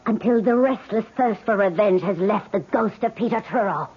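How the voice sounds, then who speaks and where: An actress playing a grave, urgent believer in ghosts, solemn and commanding, in old radio drama style. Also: female voice, mid-range voice, moderate pace, natural voice. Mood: grave, pleading. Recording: dull, hissy old radio recording.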